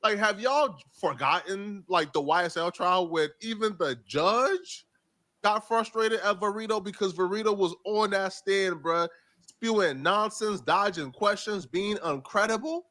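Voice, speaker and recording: Casting: male online commentator, fairly high voice, emphatic and incredulous, speaking slangy opinion straight to viewers. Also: unhurried, bright, forceful tone.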